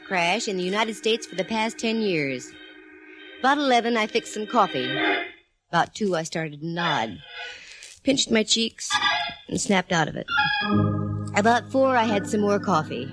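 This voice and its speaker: An actress playing a wisecracking private detective who also narrates, in a brisk 1940s radio drama. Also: female voice, mid-range voice, natural voice.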